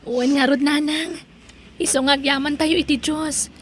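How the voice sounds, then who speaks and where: A young woman voicing a grateful student about to graduate who speaks gently and thankfully. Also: high voice, fairly even intonation, unhurried, a little hiss or hum. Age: young adult. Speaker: voice actor. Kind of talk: audio drama acting.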